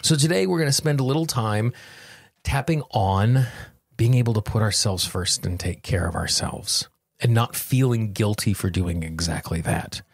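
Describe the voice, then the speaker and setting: Low, husky-edged man hosting a podcast. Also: normal speed, dry recording, studio quality. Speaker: podcast host and tapping coach. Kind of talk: guided self-help podcast, addressing listeners directly.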